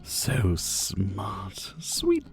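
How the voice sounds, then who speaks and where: A man's voice: on the low side, wildly swooping pitch, extremely slow, soft background music, full character voice. A man playing a possessive, flirtatious and condescending rich dictator in an intimate ASMR roleplay, doting on the listener with pet names. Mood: smug, flirtatious. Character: possessive, teasing male yandere dictator, rich and menacing.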